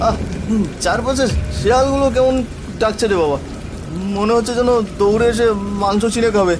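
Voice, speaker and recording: A man's voice: extremely high pitch, fairly quick, background noise, voice pushed up. A man voicing a frightened village man in a horror cartoon, whimpering and muttering melodramatically, with music, rain and thunder behind him. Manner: fearful, whimpering, muttering to himself. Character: terrified village man lost in a stormy night.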